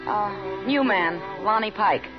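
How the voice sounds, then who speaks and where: A woman's voice: medium pitch, slow, natural voice. An actress playing a wry, easygoing frontier saloon woman in a 1950s Western radio drama. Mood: reassuring. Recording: dull 1950s radio recording, music behind.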